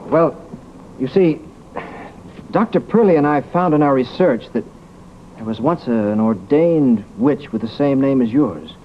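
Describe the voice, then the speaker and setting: A man performs a gallant, rational American doctor from a vintage drama. His voice swings widely in pitch and sits fairly high. The old recording is muffled, with faint noise. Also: expressive, a little slow, close to an everyday voice. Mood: hesitant, tender. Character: confident, gallant male doctor and rational debunker.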